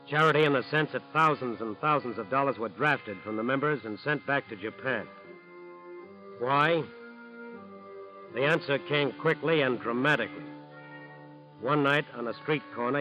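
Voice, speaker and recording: An American man narrating a 1940s radio crime drama in a grave, dramatic, deliberate manner. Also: mid-range voice, bright, forceful tone, muffled recording, close to an everyday voice. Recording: old 1940s radio broadcast.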